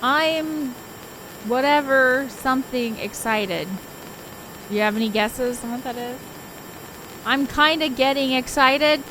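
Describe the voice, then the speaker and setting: A woman's voice: high voice, slow pace, pauses often, noisy background. A woman streaming a visual novel, reading it aloud in a casual voice and chatting with informal, giggly asides. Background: game soundtrack music.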